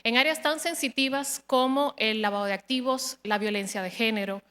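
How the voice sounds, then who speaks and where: Woman, thirties, formal measured delivery, hesitant fillers, long hall reverb. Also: fairly high pitch, bright, forceful tone, clean tone. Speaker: judicial candidate. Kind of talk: formal interview presentation.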